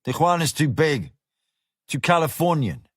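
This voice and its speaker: A guarded Englishman, voiced by a man who answers in calm, clipped lines. His voice sits a little above a man's usual range. The recording is dry and close. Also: on the high side, measured pace, dry recording, pitched above the performer's own voice. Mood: terse. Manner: terse, calm. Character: terse, guarded Englishman looking for work.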